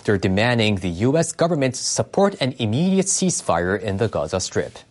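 A man's voice: fairly low pitch, very animated, a little fast. A man delivering scripted news narration as a reporter.

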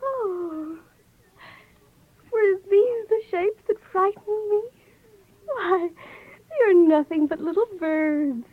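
A woman's voice: extremely high pitch, takes it slowly, pauses often, a little hiss or hum. An actress playing a sweet, innocent young princess with a girlish voice in 1930s radio style. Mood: relieved, sweet. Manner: girlish, earnest, theatrical. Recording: muffled 1930s radio recording, music behind.

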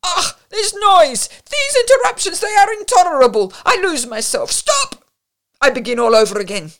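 A woman voicing a temperamental female singer who erupts in shrill, theatrical fury. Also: very animated, moderate pace, heavily acted. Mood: furious.

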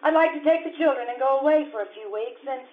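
A woman playing a gentle, teasing wife and mother in a radio comedy performed live on stage. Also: high-pitched, fast, natural voice. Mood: hesitant, measured. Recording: dull lo-fi live stage recording.

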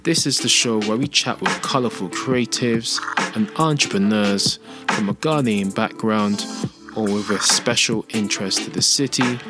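A man's voice: fairly low pitch, normal speed. A man hosting a podcast, chatting casually with a guest, with many fillers and restarts. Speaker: podcast host. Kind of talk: casual interview chat. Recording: dry with faint music.

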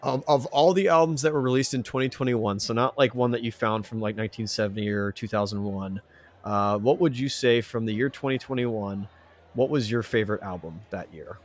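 Halting man in casual podcast chat. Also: medium pitch. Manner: halting, rambling phrasing. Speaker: podcast co-host. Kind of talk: casual chat, asking questions.

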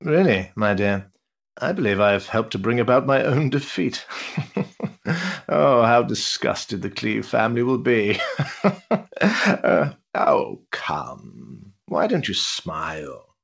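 An actor playing a worldly older duke, an amused, teasing schemer with an urbane, roguish manner in a period stage drama. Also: male voice, on the low side, takes it slowly, vocal fry, lo-fi sound, heavily acted. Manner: urbane, roguish, patronising flattery with chuckles.

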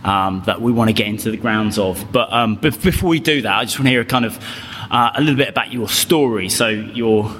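A man's voice: lowish voice, brisk, hardly any pauses, a bit bright, breathy edge. A man hosting a podcast interview, talking with many fillers and repeated words. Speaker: podcast host. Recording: long reverb, faint music.

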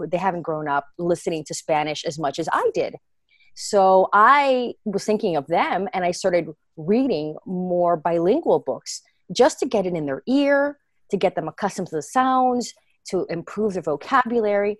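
An earnest, expressive woman answers interview questions, laughing easily as she talks. Her voice sits in the middle for a woman. The sound is dry and close. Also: medium pitch, no echo. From United States. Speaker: guest, children's book reader.

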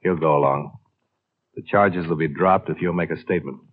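An actor playing a shrewd, hard-boiled insurance investigator in a clipped, matter-of-fact way in a 1950s radio drama. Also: male voice, rumbling low, moderate pace. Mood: probing. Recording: muffled, band-limited old radio recording.

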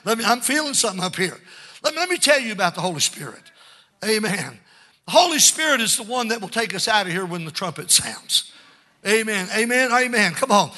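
An older male preacher with a high voice and a very sharp, forceful tone. He delivers a fervent Pentecostal sermon, exhorting the congregation and dropping frequent amens between his points. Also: lively intonation, fairly quick. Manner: exhorting, punctuated with frequent amens and calls for response.

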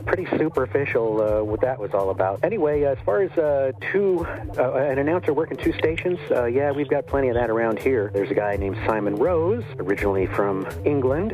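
A man leaving casual, rambling comments for a radio show, pausing often and adding asides. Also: on the low side, poor audio.

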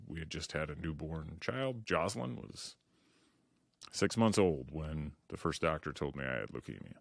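Deep-voiced man musing on his podcast. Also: gravelly, a bit bright, no room sound, studio quality. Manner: candid and earnest, with frequent "you know" and restarts. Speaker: podcast host. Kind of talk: reflective solo podcast monologue.

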